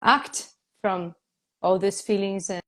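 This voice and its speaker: An earnest woman with a Brazilian accent hosts a live online talk over a video call. She speaks haltingly, feeling for her words, at a mid pitch. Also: steady tone. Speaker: host. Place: home in Spain.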